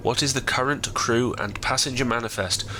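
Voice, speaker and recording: A man's voice: mid-range voice, normal speed, bright, forceful tone, slight background noise, lightly acted, rough recording. A man playing a dutiful, skeptical starship officer in a science fiction audio drama, with a clipped, questioning military manner. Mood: businesslike.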